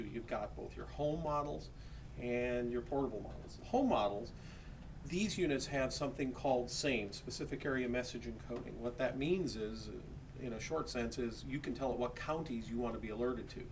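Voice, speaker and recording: An American man talks like a store salesman walking a customer through products in a plain-spoken, explanatory way. His voice sits at an average pitch, recorded a little way from the microphone with faint noise behind him. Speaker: radio shop salesman. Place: electronics store. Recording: distant mic, faint background noise.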